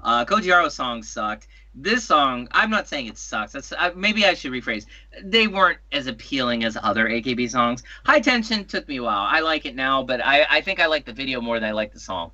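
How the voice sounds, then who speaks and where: A man's voice: medium pitch, very animated, fairly quick, hardly any pauses, sharp tone, dry recording. A man co-hosting a casual fan chat podcast, on a slightly dull lo-fi track. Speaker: podcast co-host.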